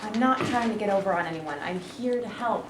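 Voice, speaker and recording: Woman playing a fast-talking, earnest labor activist. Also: medium pitch, natural voice. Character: Bruce Davenport, earnest, insistent labor activist man. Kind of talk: staged play reading. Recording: echoey room, distant mic, faint noise.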